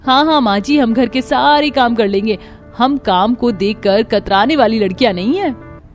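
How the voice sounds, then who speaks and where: A woman's voice: on the high side, normal speed, soft background music, lightly acted. A woman voicing an earnest, dutiful young daughter-in-law in a cartoon story. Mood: eager, concerned.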